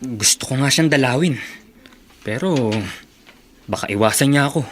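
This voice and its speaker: A man's voice: medium pitch, expressive, moderate pace, fairly bright tone, slight background noise, natural voice. An actor playing a polite, unsure young man in a radio drama. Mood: worried. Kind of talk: Tagalog radio drama acting.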